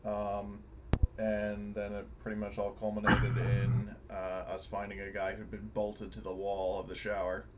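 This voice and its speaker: Low-voiced man on a noisy mic. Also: completely flat delivery, unhurried. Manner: informal, sweary. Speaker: tabletop RPG player. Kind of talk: casual chat. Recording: clearly noisy microphone.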